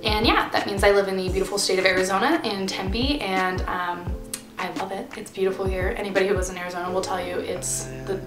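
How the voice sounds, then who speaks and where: A bubbly young woman in her twenties chats to camera from her bed, rambling a little and filling gaps with ums. Her voice sits at a middling pitch and carries a roomy echo. Music plays clearly behind her. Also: fairly even intonation, quick pace. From Pennsylvania, USA. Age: 20s. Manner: chatty, bubbly, rambling with fillers. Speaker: vlogger. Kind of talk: casual chat to camera.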